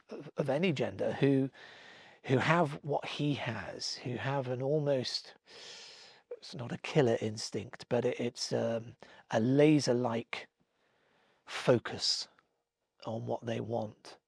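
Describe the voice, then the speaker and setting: A man with a gravelly, husky voice, chatting haltingly in an interview. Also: medium pitch, no echo. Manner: halting, repeats words while searching. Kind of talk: interview chat.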